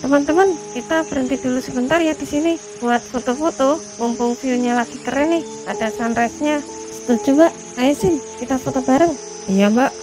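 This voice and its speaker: A woman's voice: high-pitched, brisk, music in the background, close to an everyday voice, rough recording. A woman playing a friendly, polite and chatty young female hiker in a cartoon. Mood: cheerful. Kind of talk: cartoon dialogue acting.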